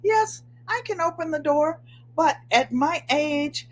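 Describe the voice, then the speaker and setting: Host, woman, 70s, New England accent, nasal twang, excitable livestream chat at home, higher-pitched. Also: slow, slight background noise, poor audio. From Connecticut, USA. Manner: chatty, excitable, gestures with hands. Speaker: channel host. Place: home room with bookshelves.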